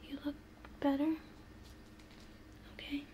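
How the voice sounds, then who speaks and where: A young woman whispering a gentle, soothing ASMR role play, reassuring the listener up close in a small room. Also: high-pitched, flat delivery, slow, very noisy. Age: young adult.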